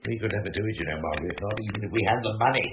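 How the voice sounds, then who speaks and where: An actor playing a teasing, talkative husband in a radio drama, wordy and mock-grand with a playful menace. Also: male voice, fairly low pitch, rapid-fire, natural voice. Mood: expansive. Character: theatrical, teasing, talkative husband in his thirties or older. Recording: dull, lightly noisy old radio recording.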